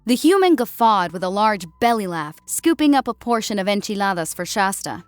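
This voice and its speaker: A woman's voice: medium pitch, very animated, fairly quick, dry recording. A woman reading scripted fiction as an audiobook narrator. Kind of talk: scripted story narration with character dialogue. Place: close, dry recording with music behind.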